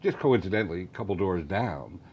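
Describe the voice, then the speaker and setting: A man's voice: deep voice, lively intonation, fairly quick, lo-fi sound, slight background noise. Joking male panel host. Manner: joking, teasing. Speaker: show host. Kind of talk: casual panel banter.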